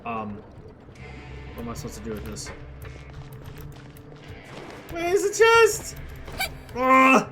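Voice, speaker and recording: A gameplay streamer chats casually while playing, with a voice that swings widely in pitch. The talk is playful, slangy and reactive. Game music is clearly audible behind it. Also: wildly swooping pitch, takes it slowly, long pauses. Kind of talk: casual let's-play commentary.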